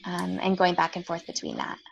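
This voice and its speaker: A woman astrologer talking reflectively in a relaxed interview, explaining her practice with frequent "um" and "like". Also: on the low side, fairly quick, creaky, highs cut off. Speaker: astrologer guest. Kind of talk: casual conversational interview.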